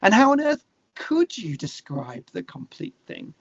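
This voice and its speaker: A man's voice: high voice, huge pitch swings, measured pace, a bit bright, dry recording, highs cut off. A British man talking earnestly and discursively as a musician guest in a casual interview, often restarting his phrases.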